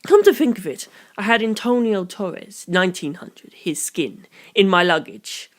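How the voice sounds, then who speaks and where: A calm young detective as acted by an audiobook narrator lays out grim theories in formal language. The delivery is deliberate and analytical, at a medium pitch. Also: natural voice. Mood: calm, puzzled. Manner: measured, formal, deliberate phrasing.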